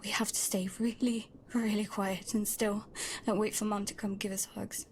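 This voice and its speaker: A woman plays a protective, wary young girl narrating a scripted audio drama. Her delivery is hushed and intimate, often close to a whisper. The pitch stays fairly even with some creak, over faint background noise. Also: moderate pace, lightly acted.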